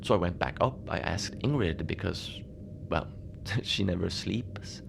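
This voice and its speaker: Over a clearly audible bed of noise, a man acts out a lonely station keeper in a cozy horror audio drama. His low voice has a lot of gravelly fry. He talks mildly and haltingly, treating strange things as everyday matters. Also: full character voice. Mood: puzzled, matter-of-fact. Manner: halting, conversational, understated storytelling with small hesitations. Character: lonely, mild, unbothered polar station keeper telling strange tales. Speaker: narrator of a fiction podcast. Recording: steady noise behind the voice.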